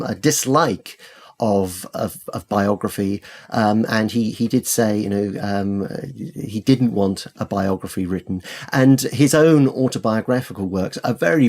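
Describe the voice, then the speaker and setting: Halting, thoughtful man in discussion. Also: on the low side, a little mellow. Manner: hesitant, halting, repeats words for emphasis. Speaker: interview guest, literary scholar and editor. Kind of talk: conversational expert discussion.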